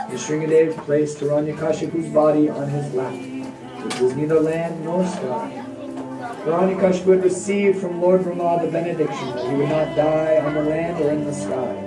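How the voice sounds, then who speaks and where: A storyteller with a mid-range voice narrates a devotional tale in measured phrases. The sound is roomy, with music playing along. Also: medium pitch. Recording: echoey, distant, music behind.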